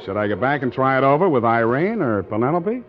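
An actor playing a tough, wary American sea captain and narrator in a 1940s radio drama, with a clipped, wry delivery. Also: male voice, medium pitch, very animated, brisk, close to an everyday voice. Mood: wry, probing. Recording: dull old radio recording, organ music.

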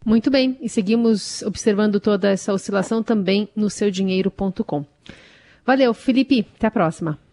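A woman anchoring a lunchtime news radio program, introducing segments with upbeat, brisk handovers. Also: medium pitch, warm tone.

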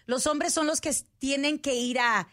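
A woman's voice: high voice, normal speed, a bit bright. A woman, hosting a podcast in casual chat and mixing in English words. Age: 40s. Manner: chatty, mixes in English words. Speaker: podcast host.

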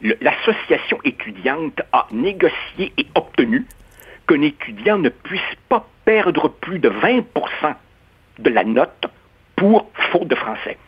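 A man from Uruguay speaking as a radio columnist, measured and expressive with stammering restarts. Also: a little high, slightly rough. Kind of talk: opinionated radio debate. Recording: dull lo-fi radio sound.

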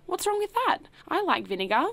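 A woman voicing a brash, boastful, newly rich man who talks loudly and coarsely. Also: medium pitch, normal speed, lightly acted. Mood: scoffing. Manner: loud, blustering, ungrammatical slang.

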